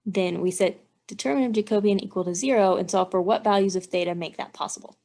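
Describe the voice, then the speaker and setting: Woman teaching, creaky voice. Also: medium pitch, quick pace, darkish tone. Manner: methodical, step-by-step explaining. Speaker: engineering instructor. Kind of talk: instructional walkthrough, thinking aloud while working out math.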